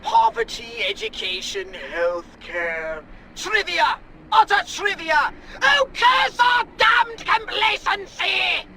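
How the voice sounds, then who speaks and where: An excitable, pompous alien schemer from a video game. The voice is extremely high and piercingly bright, with wild swoops. He speaks in verbose, theatrical bursts over faint background noise. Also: slow, gravelly, full character voice. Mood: bitter exasperation. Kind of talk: animated video game acting.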